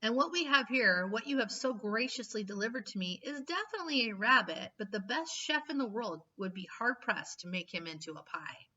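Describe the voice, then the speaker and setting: A big bearded wanderer talks with old-fashioned courtesy and gentle humor, as a woman reading aloud puts him on. The voice comes out bright, and the recording is dull and a touch distant. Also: on the high side, lively intonation, a little fast, few pauses. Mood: genial. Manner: genteel, formal, gently playful. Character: enormous bearded man, gentle and courteous vagrant.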